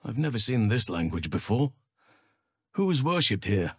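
An actor voicing a wise, gentle and grave archdruid in a fantasy video game, with measured, formal and thoughtful phrasing. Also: male voice, mid-range voice, sing-song, slightly rough, no echo, studio quality. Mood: calm, reflective.

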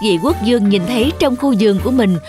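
A woman's voice: on the high side, quick pace. Woman storyteller over prominent music. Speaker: narrator. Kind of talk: scripted children's storytelling narration. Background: music under the voice.

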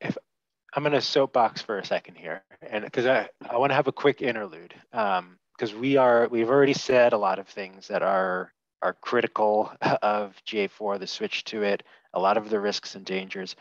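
A man's voice: fairly low pitch, normal speed, vocal fry, no echo. A man on a headset in a small room, giving candid, opinionated views as a panelist in a webinar, with many fillers and restarts. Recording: dull lo-fi video call audio.

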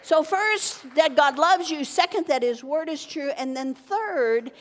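An older woman pastor preaching a sermon, animated and teaching, with wide gestures on a church stage. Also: sits high, a little slow. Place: church stage with wood-panel wall. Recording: long reverb from church hall.

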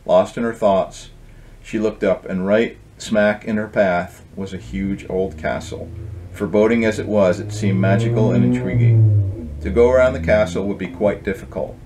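Low-voiced middle-aged man reading his book. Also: steady tone, moderate pace, noisy background. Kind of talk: storybook reading with casual introduction. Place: indoor home office.

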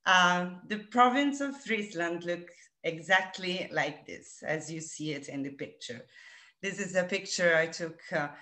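A woman's voice: on the low side, a little slow, sharp tone. A woman from Italy with an Italian accent, giving a virtual tour presentation over a video call with many hesitant fillers. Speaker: tour director. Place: home room.

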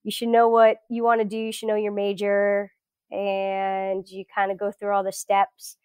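A woman's voice: medium pitch, completely flat delivery, moderate pace, a little mellow, clear, resonant tone, no room sound. A woman in her thirties from South Florida, chatting as a guest in a casual interview, with many fillers and restarts. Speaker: guest researcher.

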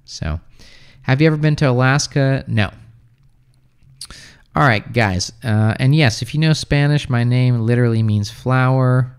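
A man in his thirties, raised in the United States, hosts a relaxed English-practice livestream from a small room at home. His voice is smooth and clear at a middling pitch. He chats loosely into a close boom mic and answers viewers' questions with plenty of fillers. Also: unhurried. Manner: relaxed and chatty, many fillers, answers comments offhand. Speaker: English teacher hosting a livestream. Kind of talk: casual livestream chat reading viewer comments. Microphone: studio mic on boom arm close to mouth.

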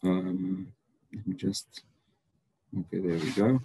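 A man's voice: low-pitched, fairly even intonation, slow, dark tone, a little hiss or hum. A man whose first language is Afrikaans, from South Africa, giving an online seminar talk as a university lecturer in a friendly, chatty way with many ums. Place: indoor room, video call.

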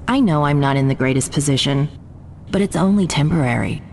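A woman's voice: sits low, animated, fairly quick, a little mellow, in a large hall, slight background noise. A woman playing a poised, ambitious researcher in a video game, polite, composed and sure of herself. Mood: composed, confident. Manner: polite, composed, self-certain.

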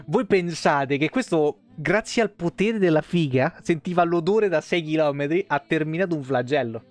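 Man, thirties, somewhat high voice, game streamer, reading lore aloud, irreverent casual commentary, faint background music. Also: normal speed. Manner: irreverent, foul-mouthed asides.

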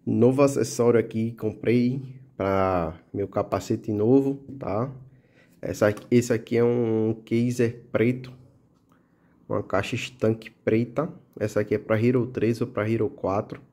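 A man's voice: on the low side, measured pace. A man with a Pernambuco accent hosting his motorcycle channel, chatting casually as he shows off camera gear indoors, peppering his talk with little tag questions. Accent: Pernambuco Portuguese. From Brazil. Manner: relaxed, chatty, full of fillers like "tá?" and "né?". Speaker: motovlog channel host. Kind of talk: casual product show-and-tell.

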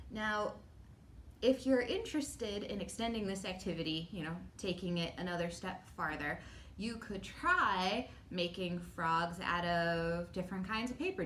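Middle aged american librarian lady explaining a kids craft, medium pitch, faint room noise. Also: a bit bright. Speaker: children's librarian. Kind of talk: instructional craft talk for children. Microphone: camera a few meters away.

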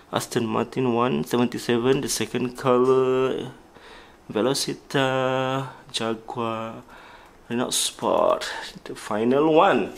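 A man casually walking through his diecast car collection, talking haltingly and listing models one by one. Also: mid-range voice, creaky. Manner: halting, with restarts and listed names. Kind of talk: casual toy collection walkthrough.

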